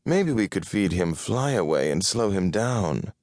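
A man's voice: low-pitched, very animated, unhurried, a little mellow, dry recording, clean studio sound, pitched above the performer's own voice. Man voicing a blunt, disdainful cat woman. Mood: sly. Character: blunt, selfish, easily bored female cat creature.

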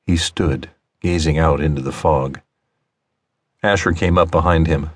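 A man with a low voice narrates fiction. His delivery is measured and deliberate, as in an audiobook. The sound is dry, with very little room on it. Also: slightly rough, no echo.

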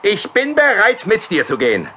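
A man's voice: sits high, wildly swooping pitch, moderate pace, pushed and bright, a little hiss or hum, heavily acted. An actor playing a defiant, sarcastic male ghost hunter in a radio play.

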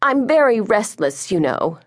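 A woman's voice: medium pitch, big pitch swings, unhurried, no room sound, clean studio sound, pitched above the performer's own voice. A woman voicing a spoiled, sly little boy in a storybook, self-pitying and affected. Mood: feigned weariness.

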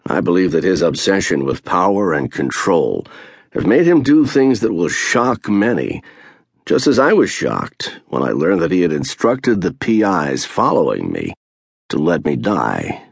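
A man reading a first-person memoir as an audiobook narrator, measured and earnest, slowing down to stress key phrases. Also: low-pitched, wildly swooping pitch, creaky, breathy edge, no room sound, studio quality.

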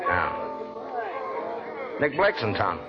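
An actor playing a bitter, scheming, proud con man down on his luck, husky and slangy, in a 1940s radio drama. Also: on the low side, wildly swooping pitch, takes it slowly, a loud crowd, heavily acted. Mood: wheedling. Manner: clipped, slangy, contemptuous. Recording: dull old radio broadcast, faint music.

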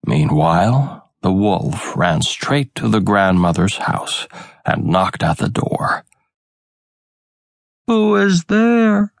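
Male audiobook storyteller for children's tales: unhurried, expressive, creaky-edged, dry sound. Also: low voice, no room sound. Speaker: audiobook narrator. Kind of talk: children's fairy-tale narration.

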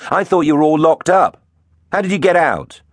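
A man's voice: a little high, very animated, normal speed, dry recording, pitched above the performer's own voice. A man playing a brave, cheeky dinosaur captain who is the young-spirited hero of a children's storybook. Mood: cocky defiance.

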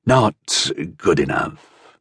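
A man's voice: low voice, very animated, unhurried, a bit bright, no room sound, clean studio sound, exaggerated voice. A man voicing a proud, blunt sellsword of minor nobility in an audiobook adventure. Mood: weary refusal. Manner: blunt, scornful, firm.

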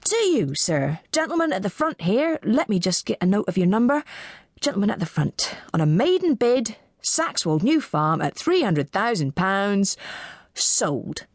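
A timid country auctioneer, a man played by a woman narrator, formal and apologetic as he tries to keep command. The pitch swings widely in this dry audiobook reading. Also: very animated, measured pace, no room sound. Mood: polite, persistent. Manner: formal, apologetic, fragile authority. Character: timid, flustered, polite male country auctioneer.

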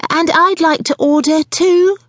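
A woman's voice: extremely high pitch, steady tone, a little slow, a bit bright, dry recording, studio quality, voice pushed up. A woman voicing a bossy, impatient mother in an audiobook, with a pointed, demanding manner. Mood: chirpy.

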